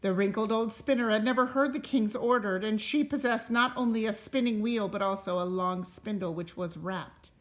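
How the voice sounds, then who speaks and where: A woman reading a storybook aloud to a class as a teacher, in a deliberate, expressive way. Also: medium pitch. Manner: deliberate and expressive, pausing to question listeners.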